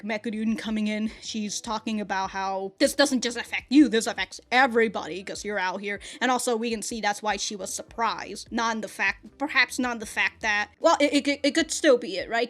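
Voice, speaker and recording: A woman's voice: mid-range voice, a bit bright. A woman hosting an anime reaction channel, giving casual review commentary. She is chatty, swears freely, laughs often and thinks aloud with frequent restarts.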